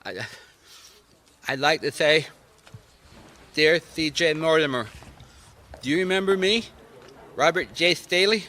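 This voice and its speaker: A man playing a simple, wounded, childlike character with a grudge, halting and plaintive, in a radio mystery. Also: on the high side, lively intonation, pushed and bright, heavily acted. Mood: halting, hurt. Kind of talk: radio mystery drama acting.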